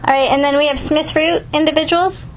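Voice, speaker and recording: Woman meeting organizer, high voice, brisk and teasing; office speakerphone with steady noise. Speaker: meeting organizer on a conference call. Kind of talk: casual office chat and call roll.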